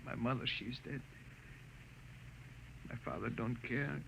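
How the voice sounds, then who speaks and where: An actor playing a young, weary Mexican laborer in a 1950s radio drama, speaking broken English with a Mexican accent. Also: male voice, mid-range voice, unhurried, gravelly, fairly bright tone. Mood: despairing. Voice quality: broken English, hopeless and plaintive. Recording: muffled old radio broadcast with hiss.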